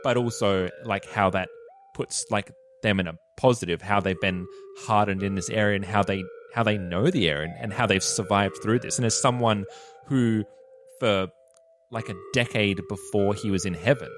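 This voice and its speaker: A man's voice: fairly low pitch, normal speed, a little hiss or hum. A man who plays in a tabletop game, casually describing what his character does.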